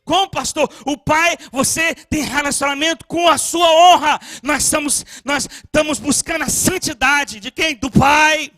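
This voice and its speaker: A male pastor preaching a sermon with passion, moving between soft storytelling and shouted appeals, often stammering as he restarts phrases. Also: very high voice, a little slow, hardly any pauses.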